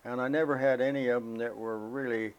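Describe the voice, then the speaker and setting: An elderly American man recalling his life as a veteran in an interview, speaking in a halting, reflective, unhurried way. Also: mid-range voice, steady tone, smooth, highs cut off. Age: elderly, 80s or older. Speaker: interviewee, war veteran recalling military service. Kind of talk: oral history interview, personal recollection.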